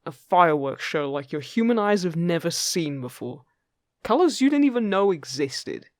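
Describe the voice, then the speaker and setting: A man playing a friendly, upbeat fairy guide in an intimate fantasy roleplay, chatty, gentle and encouraging with the listener. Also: on the high side, big pitch swings, moderate pace, no room sound, clean studio sound. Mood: happy, eager. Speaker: voice actor in an audio roleplay.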